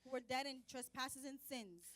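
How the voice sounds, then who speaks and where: High-voiced woman interpreting a sermon. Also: measured pace, a bit bright.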